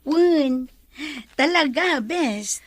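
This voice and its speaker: A woman's voice: high voice, expressive, drawn-out words, no echo, exaggerated voice. A woman playing the agreeable best friend of a resort boss in a radio drama. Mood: agreeable, playful.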